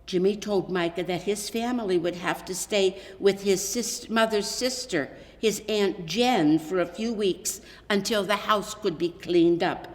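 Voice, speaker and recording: A woman reading a children's story aloud in an expressive, gently dramatic storytelling manner. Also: medium pitch. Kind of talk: scripted children's story narration. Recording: echoey hall with long reverb.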